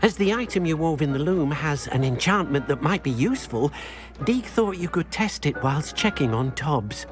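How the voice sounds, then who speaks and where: A man's voice: on the high side, expressive, normal speed, natural voice. Timid, lilting house-elf servant played by a man. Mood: hesitant, earnest. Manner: hesitant, speaks of himself in third person. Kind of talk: video game character dialogue. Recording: music behind the voice.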